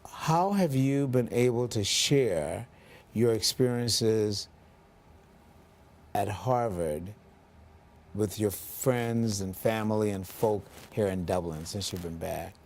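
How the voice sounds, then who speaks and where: A man hosting a local TV interview, speaking in a measured, formal way with frequent ums. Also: lowish voice, darkish tone. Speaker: TV interview host.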